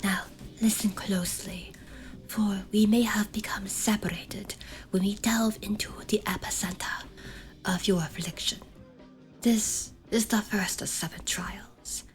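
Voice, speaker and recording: A woman's voice: mid-range voice, lightly acted. A woman playing a solemn, protective shamaness in an ASMR fantasy horror roleplay, speaking half in whispers with archaic, commanding deliberation. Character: solemn protective shamaness guiding an afflicted listener.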